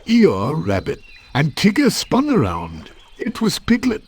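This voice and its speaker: Male storybook narrator for children, lively intonation, scripted read-aloud. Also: fairly high pitch, measured pace, breathy edge.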